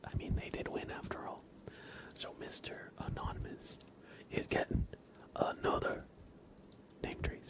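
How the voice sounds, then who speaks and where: A man hosting an ASMR livestream, whispering softly into a close microphone as he chats casually to viewers and comments on a race. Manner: soft whispering, unhurried chat to viewers. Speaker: livestream host. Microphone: close sensitive microphone.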